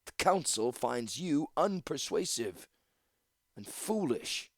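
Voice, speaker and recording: A man voicing a cold, imperious old woman, a council servant in a fantasy audiobook, speaking in a measured, formal, disdainful way. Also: a little high, sing-song, darkish tone, no echo, studio quality, pitched above the performer's own voice.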